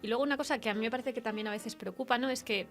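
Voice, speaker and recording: A woman's voice: fairly high pitch, very fast, a bit bright, faint music behind. A woman with a Spain accent interviewing casually, thinking aloud and checking in with tag questions. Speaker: radio interviewer. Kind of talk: casual literary interview chat.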